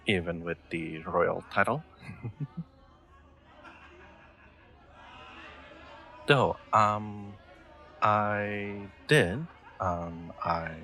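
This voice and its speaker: A man's voice: sits low, vocal fry, pushed and bright, exaggerated voice. A man playing an awkward, sweet young royal knight in a roleplay audio drama, speaking formally with hesitant stammers and nervous laughter. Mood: shy, curious.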